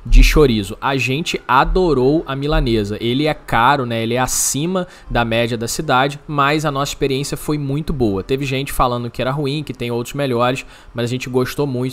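A man's voice: medium pitch, fairly even intonation, brisk, few pauses, fairly bright tone. Casual male travel vlogger. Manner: casual, enthusiastic recommendations. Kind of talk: travel vlog voice-over.

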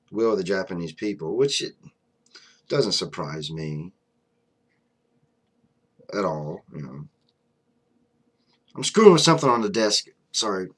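A young man giving casual, blunt political commentary to a desk webcam in a small room, full of fillers. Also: on the low side. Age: young adult. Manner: blunt, conversational, many fillers like "you know". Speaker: vlogger. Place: small room indoors, flag on wall behind.

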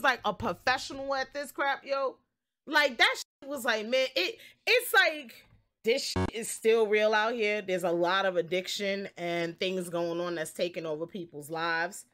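A young woman telling a story casually on a livestream, expressive, slangy and sweary. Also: on the high side, a little slow. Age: young adult. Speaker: game streamer.

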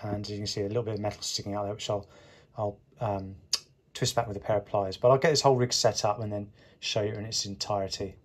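A man's voice: on the low side, fairly even intonation, quick pace. Man, casual fishing vlogger. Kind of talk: casual instructional vlog talk. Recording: clear background ambient noise.

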